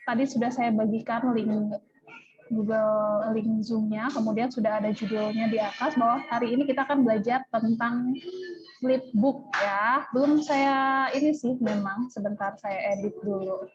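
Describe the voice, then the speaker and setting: A woman's voice: a little high, fairly even intonation, measured pace. A woman with an Indonesian accent teaching an informal online tutorial over a video call, often checking in with her listeners. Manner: repeatedly checks with listeners using "ya". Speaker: online workshop trainer. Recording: dull lo-fi video-call audio.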